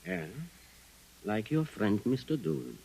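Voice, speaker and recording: A coldly superior Venusian alien. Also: male voice, lowish voice, measured pace, gravelly, slightly rough, exaggerated voice, rough recording. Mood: cool menace. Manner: calm, condescending, menacing. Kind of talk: 1950s radio drama acting.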